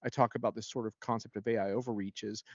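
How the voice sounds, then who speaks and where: A man's voice: on the low side, fairly even intonation, fast, no room sound. Guy analyst chatting thru his points, informal explainer, dry kinda muffled audio. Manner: informal, explanatory, often seeks agreement. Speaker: guest technology analyst. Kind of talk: conversational expert commentary.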